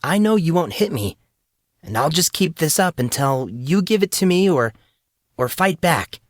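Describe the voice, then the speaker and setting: A man's voice: on the high side, sing-song, moderate pace, no echo, studio quality, voice pushed up. A man voicing a fierce, desperate teenage girl martial artist, defiant and then pleading.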